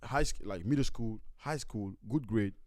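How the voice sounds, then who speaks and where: A man's voice: fairly low pitch, moderate pace, darkish tone, no room sound. A man in his twenties co-hosting a casual podcast chat, emphatic and repetitive, speaking into a studio mic. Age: 20s. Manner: emphatic, repeats "you see what I'm saying". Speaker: podcast co-host. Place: small indoor studio set with couch. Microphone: studio mic on stand, headphones.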